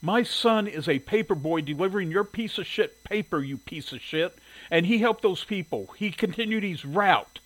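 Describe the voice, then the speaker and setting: Man voicing an indignant, high-pitched angry mother. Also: animated, unhurried, hardly any pauses, poor audio. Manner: demanding, indignant, blunt. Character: angry, fiercely protective working-class mother. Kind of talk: audiobook character dialogue.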